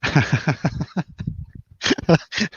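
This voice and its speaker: A man's voice: drawn-out words, fairly bright tone, no echo, dull audio. A man hosting a casual film review chat, rambling and jokey, putting questions to his co-host. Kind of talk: casual chatty film discussion over drinks.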